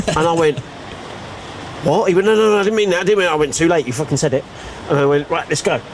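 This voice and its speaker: A man of forty tells pub stories in a chatty, self-mocking way. His voice sits a little high for a man, with a faint hum of noise behind it. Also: quick pace, low-quality recording. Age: 40s. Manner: jokey storyteller, self-mocking about age. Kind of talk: casual chat.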